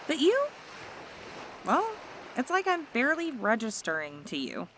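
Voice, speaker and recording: A woman's voice: high-pitched, unhurried, a little hiss or hum, heavily acted. Woman playing a teasing yandere mermaid. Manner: teasing, flattering, coaxing. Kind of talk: ASMR roleplay audio.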